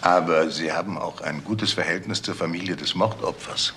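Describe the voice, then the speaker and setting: An actor playing a persistent police detective in a crime radio drama, pointed and measured. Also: male voice, sits low, a bit bright, slight background noise. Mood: probing, confident.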